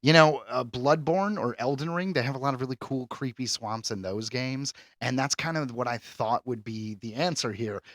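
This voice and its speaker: A man's voice: medium pitch, normal speed, hardly any pauses, a bit bright, no room sound, studio quality. A man hosting a pop culture quiz on a podcast in a casual, chatty style, announcing questions and verdicts theatrically. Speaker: podcast host and quizmaster.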